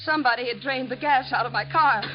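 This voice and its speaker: An American actress playing a terrified, haunted young woman in a 1950s radio drama, halting and stammering breathlessly. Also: high voice, soft background music, natural voice. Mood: panicked. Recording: muffled, noisy vintage radio recording.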